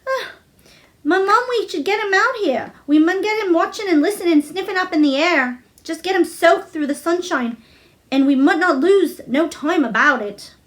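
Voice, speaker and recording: Cheerful young Yorkshire country lad, played by a woman, high voice, eager dialect talk, for a children's storybook. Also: animated, moderate pace, fairly bright tone. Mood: concerned, eager. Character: cheerful young Yorkshire country boy who loves animals.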